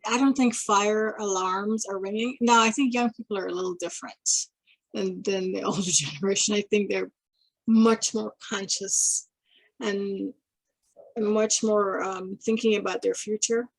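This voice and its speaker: A middle-aged woman from Guyana speaking in a halting, thoughtful way, pausing and restarting as she reflects. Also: medium pitch, fairly even intonation, vocal fry, dry recording, rough recording. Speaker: interviewee. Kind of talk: casual reflective talk.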